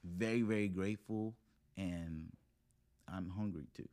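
A man in his thirties with a low voice talks as a guest in a casual interview. He is earnest and motivational, building each point in short phrases. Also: steady tone, unhurried, a little mellow. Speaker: podcast guest.

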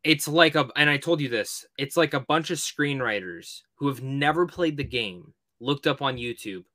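Bright, forceful man on a podcast. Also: medium pitch, animated, normal speed, dry recording. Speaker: podcast co-host. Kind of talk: casual movie discussion.

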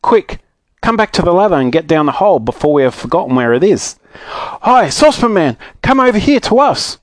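A man voicing a cheery magical moon-faced fellow in a children's storybook, brisk and hearty. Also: fairly high pitch, lively intonation, darkish tone, dry recording, pitched above the performer's own voice, natural voice. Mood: dismayed, urgent.

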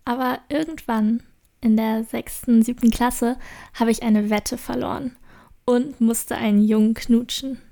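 Woman with a gravelly edge, casual storytelling, a bit high. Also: steady tone, a little slow, warm tone. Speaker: performer in a radio play. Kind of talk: anecdotal monologue.

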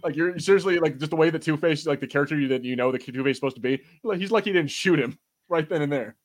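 A man with a slightly high voice hosts a casual movie chat. He talks in loose slang with open enthusiasm and the odd mild curse. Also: very fast. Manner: slangy, enthusiastic, mildly sweary. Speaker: podcast host.

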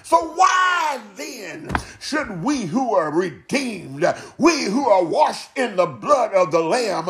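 Male preacher, very high-pitched and lively in range, fervent exclamatory sermon with emphatic repetition. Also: slight background noise. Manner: exclamatory, repeats phrases emphatically for effect. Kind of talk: fervent Pentecostal-style sermon.

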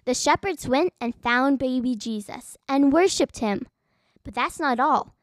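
A woman's voice: high-pitched, expressive, measured pace. A female podcast host narrating and discussing a Bible story for children.

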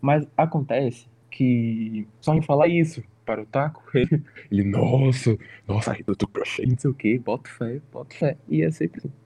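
A young man, a podcast guest chatting casually, easygoing and quick to laugh along. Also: mid-range voice, gravelly, warm tone, breathy edge. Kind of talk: casual chat. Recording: faulty mic, thin sound.

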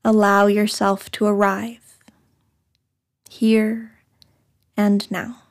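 Slow, even-toned woman guiding meditation. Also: medium pitch. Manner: soothing, unhurried, short phrases with long pauses. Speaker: guided meditation host. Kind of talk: guided meditation, scripted narration.

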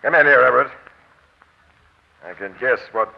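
An actor playing a tough, no-nonsense police captain, blunt and commanding, in a 1940s radio crime drama. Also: male voice, medium pitch, moderate pace, vocal fry. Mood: forceful, accusing. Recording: dull, band-limited old radio broadcast.

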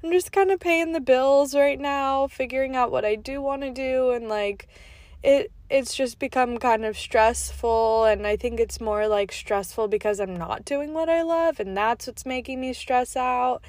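A woman's voice: high-pitched, a little slow, darkish tone, dry recording. A young woman hosting her podcast from her car, sounding stuffy and nasal from a cold, telling a rambling, casual story full of "like" with laughs between asides. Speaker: podcast host. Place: inside a parked car.

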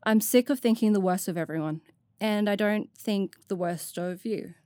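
A woman's voice: medium pitch, normal speed, mellow, smooth, no room sound, clean studio sound, close to an everyday voice. A woman playing an anxious but determined, self-doubting young adventurer in an audio drama, speaking in a hesitant, plain way. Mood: quiet relief.

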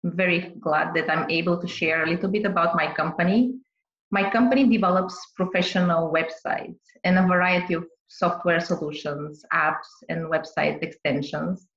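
A woman's voice: on the low side, fairly even intonation, normal speed, a bit bright, echoey room, lo-fi sound. A woman from Bulgaria, a business owner, answering podcast interview questions carefully, with light laughs.

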